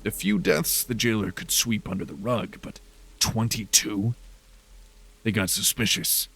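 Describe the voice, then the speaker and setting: Man playing a slow, gravelly, cold detective. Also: lowish voice, expressive, fairly bright tone, a little hiss or hum, full character voice, low-quality recording. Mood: cold accusation. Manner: slow, menacing, contemptuous. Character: cold, hard, vengeful male supernatural detective. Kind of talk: fantasy horror audio drama acting.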